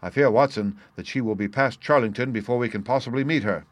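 A man voicing a sharp detective who speaks in a quick, clear, commanding way. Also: medium pitch, no room sound, close to an everyday voice. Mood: brisk.

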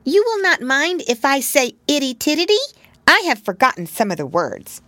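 A woman voicing a sweet, cheerful little female piglet in a storybook, high and chirpy. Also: wildly swooping pitch, moderate pace, bright, forceful tone, no echo, full character voice. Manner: high, chirpy, sing-song.

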